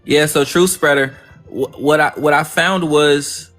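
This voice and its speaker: A man's voice: on the high side, steady tone, measured pace, fairly bright tone. A man hosting a livestream in a casual, upbeat way, effusive and fond of repeating his thanks, with faint music behind. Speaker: livestream host. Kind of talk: casual positive-affirmation talk.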